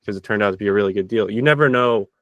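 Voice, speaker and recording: A man hosting a podcast, interviewing guests casually with energetic questions and frequent restarts. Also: fairly low pitch, racing, no echo, lo-fi sound. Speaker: podcast host.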